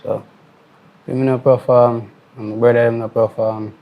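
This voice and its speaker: A man's voice: mid-range voice, level tone, moderate pace, lo-fi sound. A man with a Jamaican accent, a member of a dancehall group, answering casually in patois. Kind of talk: casual interview talk in patois.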